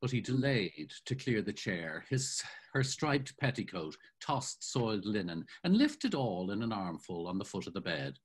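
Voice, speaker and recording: Older guy reading a novel aloud, husky, pitch rolls all over, webcam. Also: moderate pace, hardly any pauses. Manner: savoring, expressive storytelling. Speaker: narrator. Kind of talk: dramatic literary reading. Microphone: video call webcam.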